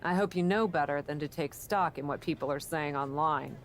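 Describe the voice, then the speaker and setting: A woman's voice: low voice, normal speed, slight background noise. A woman playing a brutal, defensive female pro wrestler with dry wit in a video game cutscene. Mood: cool, businesslike.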